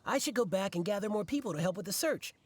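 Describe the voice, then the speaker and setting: A man playing an earnest, devoted young fan in an anime video game, excitable and hesitant. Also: sits high, fast, husky. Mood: earnest, worried. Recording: game music behind.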